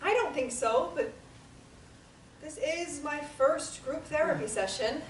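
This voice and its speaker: A woman's voice: high-pitched, takes it slowly. A woman reading the part of a hesitant, questioning newcomer to group therapy in a play. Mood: uneasy, doubtful. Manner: tentative, questioning. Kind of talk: dramatic table reading of a play. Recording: echoey room, distant mic, noise.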